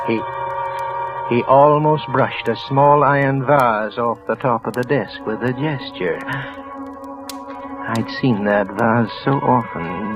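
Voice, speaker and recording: An actor playing a nervous, trembling office clerk who narrates in a hushed, tense voice, often sinking to a whisper, in a 1940s radio drama. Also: male voice, medium pitch, muffled recording, natural voice. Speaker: narrator and lead character. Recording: old radio broadcast with music.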